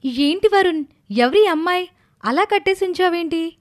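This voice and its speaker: A woman's voice: very high voice, expressive, normal speed. A woman playing a young storybook heroine who puts on innocence. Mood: feigned innocence. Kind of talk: dramatized story dialogue.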